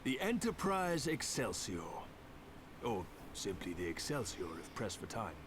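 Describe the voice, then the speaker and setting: An actor voicing a showy, eager airship engineer in a video game, theatrical and enthusiastic. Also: male voice, mid-range voice, very animated, measured pace. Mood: proud, eager. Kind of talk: animated game voice acting. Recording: noisy game stream capture.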